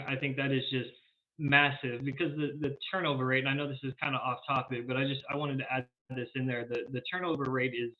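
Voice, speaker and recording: A man co-hosting a casual interview, enthusiastic and repeating his words as he talks. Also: medium pitch. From South Texas. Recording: tinny phone-like line.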